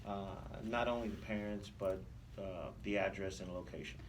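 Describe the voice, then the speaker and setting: A man's voice: low voice, moderate pace. A man answering interview questions as a police officer, explaining procedures in a hesitant, unscripted way. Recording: distant mic, background room noise.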